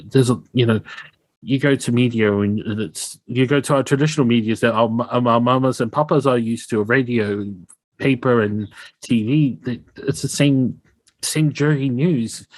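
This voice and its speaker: A man's voice: medium pitch, moderate pace, dry recording, low-quality recording. A man with a New Zealand accent hosting a casual podcast interview, speaking in an effusive, appreciative way.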